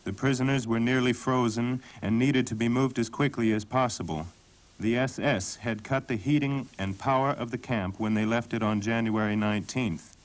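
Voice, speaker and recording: A man reading scripted documentary narration in a measured, sober way. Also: mid-range voice. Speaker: documentary narrator and voice-over translator.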